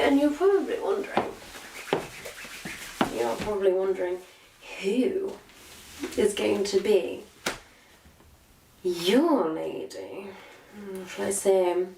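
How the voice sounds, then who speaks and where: A woman's voice: medium pitch, creaky. A woman playing a sly, self-satisfied witch posing as a missionary, in a theatrical, condescending monologue that savors each word to a captive listener. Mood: gloating, condescending. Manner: theatrical, condescending, savoring words with long pauses. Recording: roomy echo, faint background noise.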